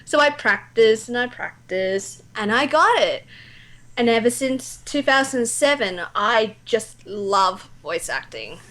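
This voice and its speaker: Higher-pitched young Australian woman, chatty storyteller. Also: expressive, slow pace, fairly bright tone. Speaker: amateur voice actor, interview guest. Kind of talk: casual anecdotal chat.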